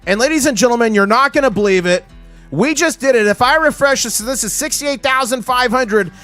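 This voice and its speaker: A man hosting a casual livestream, his voice hoarse, talking with excitable hype-man energy. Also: very high-pitched, brisk, soft background music. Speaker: livestream host.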